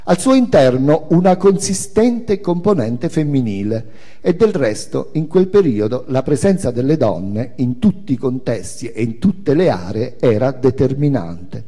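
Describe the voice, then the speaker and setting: An older man reading a memoir theatrically, in measured phrases with dramatic pauses and emphasis. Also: mid-range voice, sing-song, warm tone. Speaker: author and performer reading own memoir on stage. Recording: hall reverb of a live reading.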